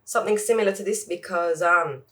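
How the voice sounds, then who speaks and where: A sniffly, congested woman chats casually in her closet while doing her makeup. She rambles and jokes to herself in a lowish voice. She sits distant from the mic. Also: brisk, pushed and bright. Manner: rambling, joking, self-talk asides. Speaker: vlogger. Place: home closet. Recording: voice from far off the mic.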